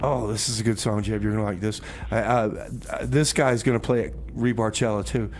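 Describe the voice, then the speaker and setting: A man's voice: lowish voice, fairly even intonation, a little fast, a little hiss or hum. A man hosting a community radio music show, loose and chatty, repeating himself as he introduces songs and banters. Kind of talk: casual music show presenting with comedy banter.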